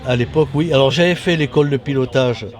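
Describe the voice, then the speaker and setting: Man in his 60s, mild Toulon accent. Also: medium pitch. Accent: mild Toulon southern French. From Toulon, southern France. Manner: halting, many restarts and fillers. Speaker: former motorcycle racer, guest. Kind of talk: casual interview reminiscence. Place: at a racing circuit.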